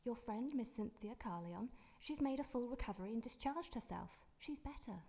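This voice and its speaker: A woman's voice: on the high side, animated, normal speed, warm tone. A woman playing a polite, astonished hospice receptionist with precise speech in a live radio drama. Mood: amazed. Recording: reverberant hall, live stage performance.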